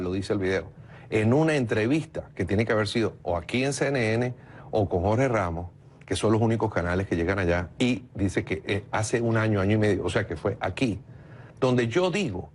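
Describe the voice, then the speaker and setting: A man's voice: fairly low pitch, a little hiss or hum. A man from Venezuela, a political consultant arguing his point insistently in a heated TV interview.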